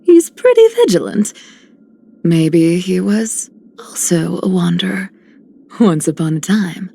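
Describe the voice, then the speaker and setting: Creaky, wary young rogue. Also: female voice, on the low side, sing-song, takes it slowly, warm tone, heavily acted. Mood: curious, teasing.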